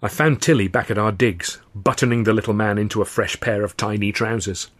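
A man's voice: lowish voice, fairly quick. A man reading his own novel aloud in scripted narration, dry and wry. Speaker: author and narrator.

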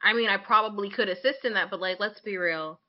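A woman's voice: medium pitch, a little fast, lo-fi sound. A young woman co-hosting a podcast, chatting casually in a blunt, slangy, opinionated way. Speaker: podcast co-host.

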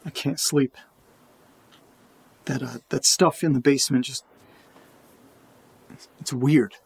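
Male actor as uneasy night-time loner in audio drama. Husky, slightly high, very slow, halting. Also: fairly even intonation, full character voice. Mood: troubled, puzzled. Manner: hesitant, halting, muttering to himself. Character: uneasy, curious man alone at night in a creepy house. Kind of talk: first-person audio drama monologue.

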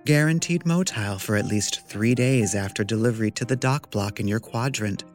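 A man's voice: lowish voice, normal speed, faint music behind, natural voice. A man playing a polished corporate narrator in a scripted biotech commercial. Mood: smooth sell.

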